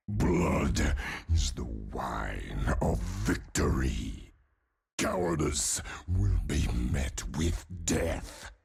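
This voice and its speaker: Savage undead game brute: very slow, gravelly, guttural, swinging wildly in pitch. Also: male voice, exaggerated voice. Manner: slow, menacing roars and growls. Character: hulking undead warrior brute, savage, bloodthirsty, raging. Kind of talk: video game character voice lines.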